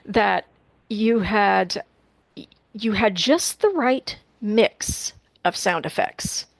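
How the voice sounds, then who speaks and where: A woman interviewing in a casual, conversational way, feeling her way through halting, reworded questions. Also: medium pitch, creaky, no echo.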